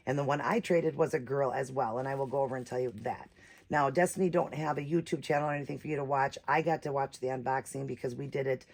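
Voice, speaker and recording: A woman with a naturally raspy voice, a bit hoarse from a cold, chatting casually as she hosts a doll unboxing vlog. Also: a bass voice, darkish tone. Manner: chatty, rambling, many fillers. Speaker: reborn doll channel host.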